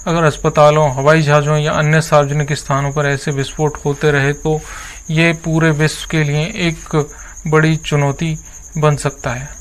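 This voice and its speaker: A man presenting scripted news as a narrator, with a formal, earnest delivery. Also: fairly high pitch.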